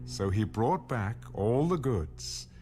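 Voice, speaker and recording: A man narrates scripture with a lively, varied pitch. Clear music plays behind his scripted reading, which is delivered as an audio Bible narration. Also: takes it slowly. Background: background music.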